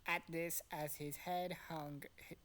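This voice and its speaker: A woman's voice: deep voice, level tone, takes it slowly, fairly bright tone. A woman narrating an amateur fan fiction reading casually, swearing and breaking off into exasperated asides.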